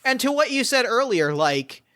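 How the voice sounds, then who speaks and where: A man's voice: very high-pitched, very animated, moderate pace, pushed and bright. A man acting as game master for a solo tabletop mystery game, thinking aloud as he weighs his options.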